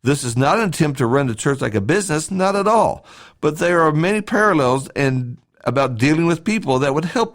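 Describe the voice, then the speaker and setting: A man reads a scripted devotional talk as a church podcast host, in a measured, instructive way with short emphatic phrases. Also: a little high.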